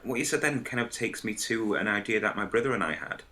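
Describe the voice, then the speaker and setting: A man in a casual podcast discussion, explaining his ideas in a hesitant, halting way. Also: fairly low pitch, lively intonation, sharp tone. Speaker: podcast panellist.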